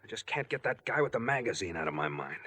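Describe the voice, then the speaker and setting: A nervous, scheming pharmacist in a vintage radio thriller. His voice is husky and swoops up and down with unease. He sounds guilty and hesitant, in 1940s broadcast acting style. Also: lowish voice, big pitch swings, fast, bright, forceful tone, full character voice. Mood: agitated, desperate. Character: anxious, guilty pharmacist plotting a murder. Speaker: actor in radio drama.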